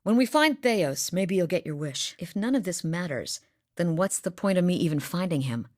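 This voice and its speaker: A woman's voice: on the low side, expressive, moderate pace, a little mellow, dry recording, studio quality, close to an everyday voice. A woman voicing a determined young woman hunter, earnest and sincere in a video game. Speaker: voice actor. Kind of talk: video game character dialogue.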